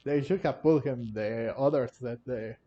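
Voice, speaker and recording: A man giving casual, halting and giggly live commentary while playing a video game. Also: medium pitch, mellow, muffled recording. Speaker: gamer streaming a playthrough.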